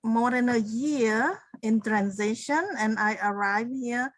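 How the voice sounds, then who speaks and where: A woman's voice: fairly high pitch, no room sound. A middle-aged woman from Cambodia, telling her personal story on a video call in an earnest, simple way. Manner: slow, earnest, simple phrasing. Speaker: co-host and speaker.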